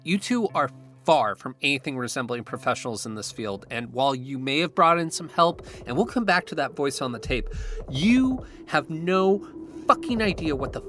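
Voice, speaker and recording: Guitar music sits behind an actor as a sceptical male friend in an audio drama. He is frank and frustrated yet fair, with a lively, fairly high voice. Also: moderate pace. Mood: sceptical, exasperated.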